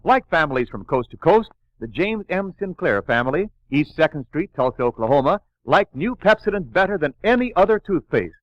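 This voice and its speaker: A man's voice: fairly high pitch, big pitch swings, a little fast, hardly any pauses, no echo. A man announcing in an emphatic, polished 1940s radio commercial style. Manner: emphatic, polished sales delivery. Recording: muffled 1940s radio recording.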